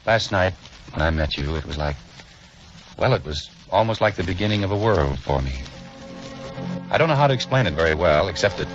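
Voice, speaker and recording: A man's voice: low-pitched, expressive, fairly quick, pauses often, music in the background. An actor playing a brooding, bitter male doctor in a 1940s radio drama, speaking in a somber, earnest way. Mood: tender. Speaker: surgeon. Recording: dull lo-fi 1940s radio recording.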